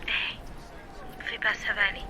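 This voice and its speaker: A woman's voice: unhurried, close to an everyday voice. A woman playing a caring, reassuring partner in an audio drama. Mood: concerned, soothing. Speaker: voice actor. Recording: clear noise with clicks.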